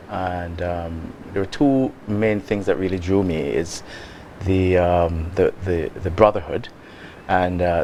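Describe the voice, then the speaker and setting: Jamaican man, low voice, soft-spoken interview guest, slight background hiss. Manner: soft-spoken, measured, with many ums. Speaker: guest, lodge member. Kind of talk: casual interview.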